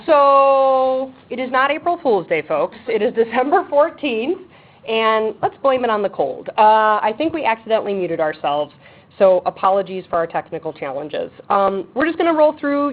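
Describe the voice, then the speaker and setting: A woman chairing a webinar for an advisory board, talking briskly and practically with frequent ums. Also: fairly high pitch, animated. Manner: says she has a loud voice. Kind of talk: webinar presentation. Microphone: speakerphone on a table. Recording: tinny phone line with faint background noise.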